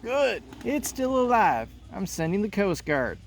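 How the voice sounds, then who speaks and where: A high-pitched emergency hotline operator, acted out by a man, brisk and helpful. It comes from a play read aloud, with clear noise in the recording. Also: big pitch swings, full character voice. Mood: brisk concern.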